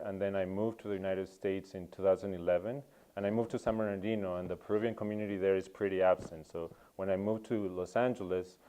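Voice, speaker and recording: Thoughtful young man, mellow voice. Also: sits low, clear, resonant tone. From Peru. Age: young adult. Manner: reflective, many ums and uhs. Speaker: anthropology student. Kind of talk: interview about his research.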